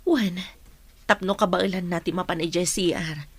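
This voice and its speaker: A woman's voice: lowish voice, normal speed, slightly rough. A woman playing a caring, practical, patient mother in a radio drama. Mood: hopeful, practical.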